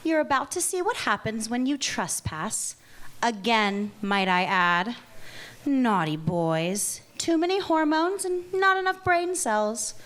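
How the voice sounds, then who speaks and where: A woman's voice: fairly high pitch, animated, slow, fairly bright tone. A woman playing a teasing, seductive vampire lady. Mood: taunting. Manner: teasing, condescending. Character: seductive, mocking female vampire. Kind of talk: live table read.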